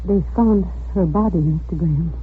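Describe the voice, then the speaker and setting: A woman's voice: fairly low pitch, moderate pace, slight background noise, natural voice. An actress playing an anxious, earnest, urgent landlady in a 1940s radio drama. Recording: narrow, muffled 1940s radio recording.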